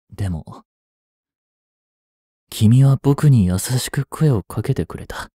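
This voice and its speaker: A man in his twenties playing an obsessive, jealous young stalker in an intimate voice drama, coaxing softly with long pauses. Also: medium pitch, no room sound, studio quality. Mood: coaxing, wounded. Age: 20s. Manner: soft, coaxing, brooding delivery with drawn-out pauses. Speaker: voice actor. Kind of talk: intimate Japanese voice drama, addressed to the listener.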